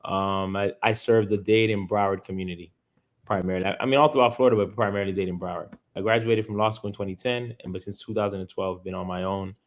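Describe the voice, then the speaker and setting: A man's voice: lowish voice, steady tone, fast. A man in his thirties from Miami with an American accent, talking as an interview guest and explaining things earnestly, step by step, in casual language. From Miami, United States. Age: 30s.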